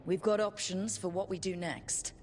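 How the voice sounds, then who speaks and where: A woman's voice: mid-range voice, fairly even intonation, normal speed, slight background noise, lightly acted. An actress playing a resolute, earnest young woman who leads a band of heroes in a fantasy game. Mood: determined. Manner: earnest, rallying, plain-spoken. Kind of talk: dramatic fantasy game voice acting.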